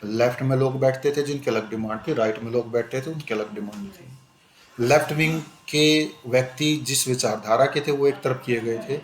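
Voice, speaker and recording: A male teacher giving a conversational exam-prep lecture, switching between Hindi and English and repeating "okay" as a filler. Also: medium pitch. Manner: conversational, repeats "ठीक है" often, switches into slow English phrases. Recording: echoey room with faint ambient noise.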